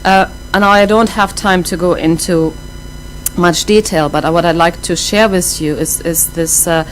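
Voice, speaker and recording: A middle-aged woman with a German accent giving a lecture, thoughtful and hesitant, with many pauses and restarts. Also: on the low side, steady tone, soft background music, low-quality recording. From half German, half Iraqi. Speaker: academic speaker.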